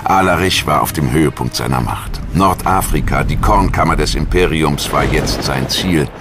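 Male documentary narrator, extremely low voice, scripted delivery, music underneath. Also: normal speed. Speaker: documentary narrator and voice-over translator.

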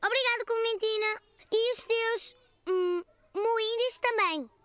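A woman voicing a cheerful, curious four-year-old cartoon boy in a European Portuguese dub, with a very high childlike voice. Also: measured pace, pushed and bright, dry recording, full character voice. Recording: children's music playing behind.

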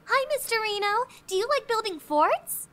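A woman's voice: very high-pitched, animated, normal speed, background noise. A woman voicing a childlike, airy, chirpy girl in an amateur parody game dub.

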